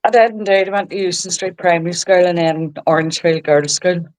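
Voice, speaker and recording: A woman's voice: fairly low pitch, brisk, a little mellow. A woman from Belfast with a Belfast accent, talking casually as a guest in a podcast interview. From Belfast, Northern Ireland. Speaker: podcast guest, social media creator.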